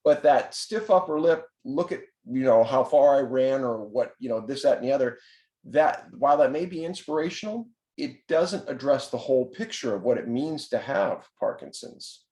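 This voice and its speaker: A man's voice: medium pitch, expressive, normal speed, mellow, roomy sound. A man running a program, interviewing a participant over a video call in a rambling way, with many fillers and restarts. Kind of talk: conversational interview.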